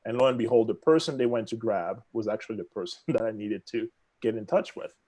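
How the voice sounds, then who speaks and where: A man from the Congo whose first language is French, speaking with his accent as an interview guest, telling his story in an animated, self-deprecating way. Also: fairly low pitch, fast, rough recording. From Democratic Republic of the Congo. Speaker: guest, real estate executive.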